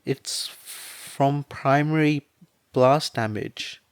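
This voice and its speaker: A man voicing a gentle, weary middle-aged mother who is a nurse, speaking softly with stammers and clinical terms. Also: mid-range voice, extremely slow, smooth, no room sound, voice pushed up. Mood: grim.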